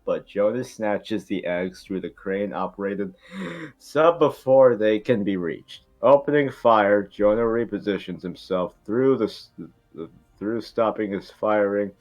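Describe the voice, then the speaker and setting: A man reading stage directions at an amateur table read in a casual, irreverent, joking way with swearing ad-libs. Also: lowish voice. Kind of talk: casual, joking script narration.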